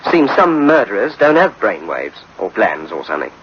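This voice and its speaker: A man's voice: on the low side, big pitch swings, normal speed, breathy edge, heavily acted. A man playing a folksy police captain in a radio drama, explaining things in a rambling, colloquial way on a muffled old recording. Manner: hesitant, repetitive, colloquial explaining. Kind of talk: 1960s-style radio drama acting.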